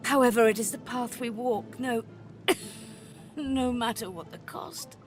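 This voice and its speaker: An actress playing a world-weary, sharp-tongued sorceress mentor in a fantasy video game, with a sardonic, impatient and dramatic manner. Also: female voice, fairly high pitch, steady tone, unhurried, lightly acted. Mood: grim, sardonic. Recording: music behind the voice. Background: music.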